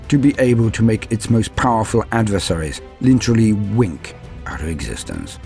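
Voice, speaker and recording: A man narrating a script dramatically, in a grave, theatrical, measured storyteller's manner. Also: low voice, gravelly, a little mellow. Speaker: lore channel narrator and host.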